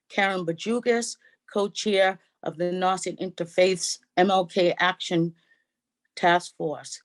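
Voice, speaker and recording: A woman moderating a public forum, reading prepared opening remarks in a measured way with small slips, over a video call. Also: on the low side. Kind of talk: formal scripted welcome.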